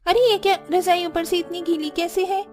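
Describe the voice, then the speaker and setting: A woman's voice: extremely high pitch, steady tone, a little fast, a little mellow, faint music behind. A woman voicing a bratty, lazy young sister-in-law in a cartoon, whiny and demanding. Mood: complaining. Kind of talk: Hindi cartoon dialogue acting.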